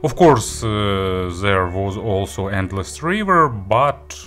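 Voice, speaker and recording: A man giving a spoken audio gear review, phrasing things haltingly but with enthusiastic emphasis. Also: lowish voice, lively intonation, a bit bright, soft background music.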